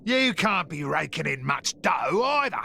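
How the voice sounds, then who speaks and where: A man's voice: a little high, sing-song, a little slow, vocal fry, pushed and bright, a little hiss or hum, exaggerated voice. A man playing a boisterous, good-natured burly mercenary in a video game, hearty, teasing and slangy. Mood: jovial. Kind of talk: video game cutscene acting.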